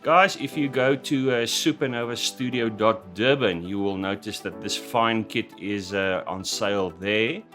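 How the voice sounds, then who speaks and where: A man hosting a scale model tutorial in voice-over, talking hesitantly with many "uh" fillers and restarts, his voice slightly croaky after an illness. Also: on the low side, measured pace, few pauses. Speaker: scale model building host. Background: background music.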